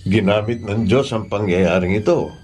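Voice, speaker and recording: Guy playing a filipino radio drama dad, low voice, slow and earnest, music behind. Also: darkish tone, lightly acted. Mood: tender, solemn. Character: earnest middle-aged Filipino father, warmly devoted to his children.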